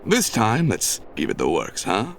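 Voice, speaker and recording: A sleazy young lieutenant acted by a man in a retro space radio parody. He talks in slick patter with big swings of pitch, over faint noise. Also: wildly swooping pitch, unhurried, creaky, heavily acted. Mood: wheedling. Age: around 30. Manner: stammering, wheedling, patter. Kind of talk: 1950s sci-fi parody acting.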